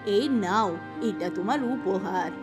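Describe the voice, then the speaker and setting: A woman's voice: mid-range voice, takes it slowly, music in the background, voice pushed down, natural voice. Cartoon role: humble, kindly old village maidservant, elderly female, voiced by a woman.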